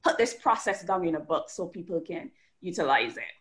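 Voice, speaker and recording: A woman from Barbados with a Barbadian accent, a guest author and coach talking through an interview in animated, rhythmic runs of points. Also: lowish voice, moderate pace. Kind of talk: podcast interview conversation.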